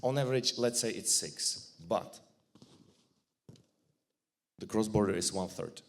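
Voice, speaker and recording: A man with a Czech accent giving a joking, blunt conference talk, with frequent restarts and a fairly low voice. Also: unhurried, vocal fry. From Czech Republic. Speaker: conference speaker. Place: conference hall.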